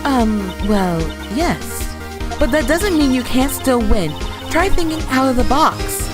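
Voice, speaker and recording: A woman's voice: high-pitched, expressive, slow, over music. A woman playing a confident senior lawyer, firm and encouraging, in a video game dub. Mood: coaching. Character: confident female senior lawyer mentoring her protege. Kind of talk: video game fandub acting.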